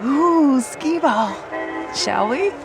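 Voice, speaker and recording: A woman's voice: high-pitched, animated, very slow, vocal fry, over music, exaggerated voice. An actress playing a flippant young woman on a date, teasing and casual, in natural romcom audio drama dialogue. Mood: playful, excited.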